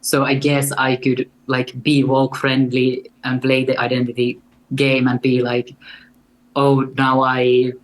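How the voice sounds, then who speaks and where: A young woman with a Finnish accent and a deep voice, speaking as a guest in an earnest, reflective way. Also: completely flat delivery, slow pace, rough recording. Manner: earnest and reflective, with light laughs. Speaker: guest artist. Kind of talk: casual interview conversation.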